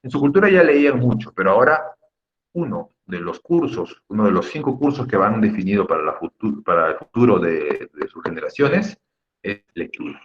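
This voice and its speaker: A man's voice: on the low side, very animated, normal speed, muffled recording. A man teaching a class in an emphatic, didactic way, repeating key words and checking in with short tag questions. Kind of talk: Spanish lesson on accent rules for students.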